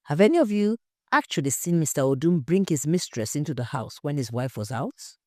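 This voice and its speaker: Woman acting female investigator, composed, direct, probing, low mellow voice, audio story. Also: sing-song, fairly quick, no room sound, studio quality. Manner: direct, questioning.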